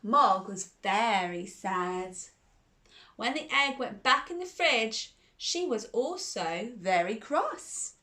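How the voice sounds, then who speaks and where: A woman's voice: fairly high pitch, animated, slow pace, pushed and bright. A woman hosting a children's storytime and reading a bedtime story, exuberant and sing-song as she talks to young listeners, often repeating phrases. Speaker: storytime host.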